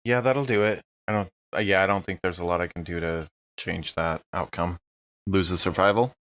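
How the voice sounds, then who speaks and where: A man's voice: deep voice, fairly even intonation, normal speed, fairly bright tone, dull audio. A man talking casually as a player at a tabletop role-playing game.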